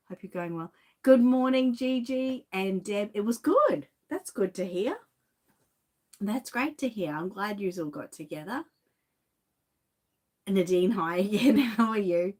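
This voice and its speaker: An Australian woman with a lingering cough chatting casually on a livestream, greeting viewers by name from her home studio. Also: fairly high pitch. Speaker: reborn doll artist and shop owner.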